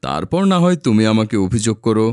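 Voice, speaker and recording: Man acting as cartoon village dad, lowish voice, gentle, reassuring, measured, dry studio sound. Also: very animated, no room sound. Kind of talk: animated moral story voice acting.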